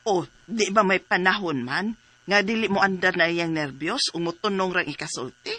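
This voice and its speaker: High-pitched, concerned relative. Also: male voice, moderate pace, bright, forceful tone. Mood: alarmed concern. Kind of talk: radio drama acting.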